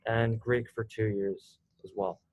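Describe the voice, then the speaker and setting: A teenage boy from South Korea, a student organizer hosting a casual video call meeting, talking haltingly with lots of fillers. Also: lowish voice, steady tone, breathy edge. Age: teens.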